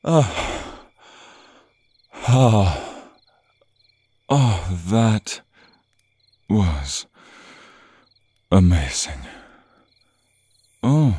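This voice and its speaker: A man plays a suave male vampire in an intimate ASMR roleplay. His low voice is dark and slightly husky, drawling slowly as he teases and purrs possessively at his captive. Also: no echo, heavily acted. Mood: sated, delighted. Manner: drawling, purring, theatrical, murmured asides.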